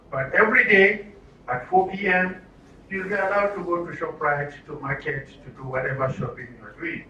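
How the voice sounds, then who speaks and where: A man's voice: fairly high pitch, bright, forceful tone. A man with a Nigerian accent facilitating a live workshop, speaking instructively with rhetorical questions and repetition. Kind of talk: live workshop talk to a group. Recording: roomy, distant mic with some noise.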